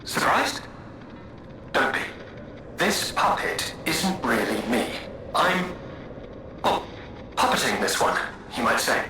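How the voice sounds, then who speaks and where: Vain, theatrical alchemist, hugely echoey. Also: male voice, a little high, slow, pauses often. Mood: smooth, coaxing. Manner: florid, flattering, self-pitying and playful. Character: vain, theatrical, charming male alchemist speaking through puppets. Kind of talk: dramatic video game dialogue. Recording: very echoey, with loud background noise.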